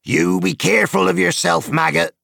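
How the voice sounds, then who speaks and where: A man's voice: sits high, fairly even intonation, moderate pace, gravelly, pushed and bright, no echo, clean studio sound, pitched above the performer's own voice, heavily acted. A man voicing an older farmer's wife who calls out fussing warnings. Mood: fretful. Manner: calling out, fussing.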